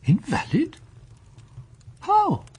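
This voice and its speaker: An actor in a period radio drama playing a timid, doting old husband, anxious, hesitant and stammering. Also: male voice, extremely high pitch, wildly swooping pitch, drawn-out words, darkish tone, exaggerated voice. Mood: hopeful, flustered. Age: older.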